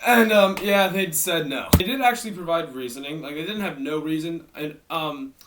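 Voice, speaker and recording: A man's voice: on the high side, normal speed, distant mic, very noisy. A teenage boy from Maryland with a worn-out, tired voice, rambling through a casual storytime vlog with self-mocking asides. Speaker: YouTuber.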